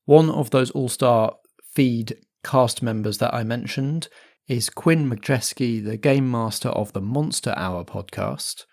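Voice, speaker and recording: A man's voice: medium pitch, gravelly, darkish tone. Man hosting podcast announcements. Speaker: podcast host.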